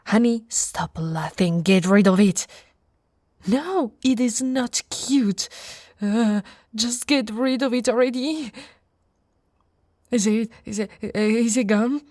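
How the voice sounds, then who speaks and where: A woman from Italy playing an affectionate, teasing female orc warrior in an intimate, soft-spoken ASMR roleplay. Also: a little high, slow pace, pauses often, dry recording, studio quality. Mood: panicked, squeamish. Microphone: close, dry microphone.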